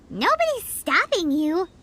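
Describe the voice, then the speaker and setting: Squeaky, extremely high-pitched fairy sidekick. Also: female voice, a little hiss or hum, full character voice. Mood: dismissive, comforting. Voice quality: squeaky, childlike. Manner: perky, outspoken. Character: tiny childlike fairy companion, cheeky, chirpy and loyal. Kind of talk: fantasy video game voice acting. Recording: loud music behind the voice.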